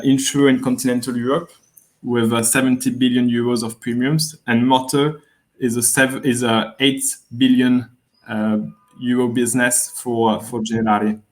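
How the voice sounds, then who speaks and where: A man from France with a French accent, a guest executive speaking in an online interview, measured and hesitant, with many fillers. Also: mid-range voice, flat delivery, poor audio. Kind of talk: webinar interview.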